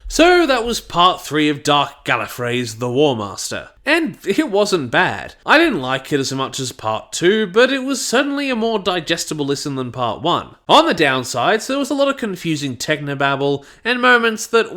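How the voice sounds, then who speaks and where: A man with a fairly high voice delivers scripted review narration. His pitch moves around a great deal, giving the reading an animated, lively sound as he hosts. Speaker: reviewer, channel host.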